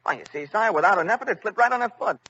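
Royal page boy: high, bright, formal yet fluttery, old radio fairy tale. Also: animated, rapid-fire, husky, exaggerated voice. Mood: eager. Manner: formal announcing, flustered stammering. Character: nervous, deferential young royal page boy. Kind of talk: old-time children's radio play acting. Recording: dull noisy old radio recording.

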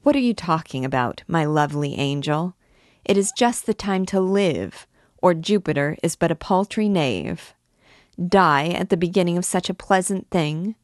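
A woman's voice: lowish voice, moderate pace, dry recording, clean studio sound, close to an everyday voice. A woman reading as a vain, amorous young cavalry captain. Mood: jovial. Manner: gallant, boastful, effusive. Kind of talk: audiobook character dialogue.

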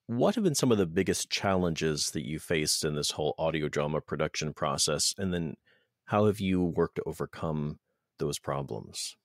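A man's voice: rumbling low, expressive, normal speed, dry recording, clean studio sound. A man hosting an interview podcast in a friendly, wry way, laughing easily as he talks. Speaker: podcast host.

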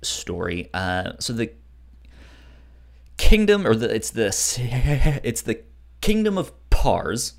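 A man with a mid-range voice and a highly animated pitch chats as a podcast co-host. He talks haltingly, repeating words as he goes, and acts out lines of dialogue in a casual discussion. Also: creaky. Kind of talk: casual book discussion chat.

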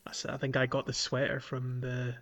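A man's voice: mid-range voice, completely flat delivery, a little fast, a bit bright. A man co-hosting a podcast, chatting casually and with enthusiasm about a video game. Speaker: podcast co-host.

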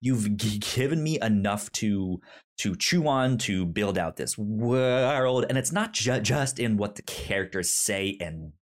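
A man's voice: on the low side, animated, measured pace. A man hosting a podcast, talking about films with enthusiasm, stammering and often restarting his sentences. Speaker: podcast host. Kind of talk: casual film discussion.

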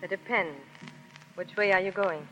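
A woman's voice: medium pitch, pushed and bright, soft background music, natural voice. A woman playing an aloof, independent cabaret singer in a 1930s radio drama. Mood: cool. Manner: reserved, unhurried, aloof. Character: cool, independent foreign cabaret singer, world-weary young woman. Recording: dull vintage 1930s radio recording.

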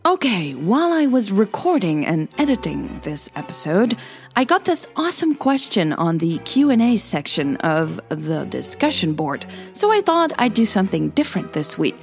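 A woman's voice: mid-range voice, big pitch swings, normal speed, gravelly, soft background music. A woman podcaster who narrates stories and hosts in an informal, chatty way. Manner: playful, self-deprecating, informal. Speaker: podcaster and storyteller, host. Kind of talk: scripted story narration and casual chatty podcast hosting.

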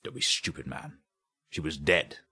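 A man's voice: very deep voice, a little slow. A man voicing a stern, impatient and sceptical police inspector in an audiobook story, with a brusque, scornful way of questioning. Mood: impatient disbelief.

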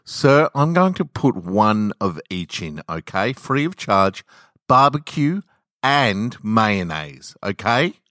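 A man voicing a young female fast-food worker in a story, with a firm, overpolite, dismissive customer-service tone. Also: lowish voice, sing-song, fairly bright tone, no echo, studio quality, pitched above the performer's own voice, heavily acted.

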